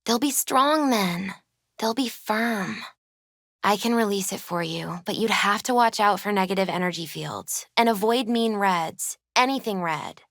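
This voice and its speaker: A woman playing a gentle, spiritual young tarot reader in a video game, soft-spoken, unhurried and often hushed. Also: mid-range voice, no echo, clean studio sound. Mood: mystical, calm.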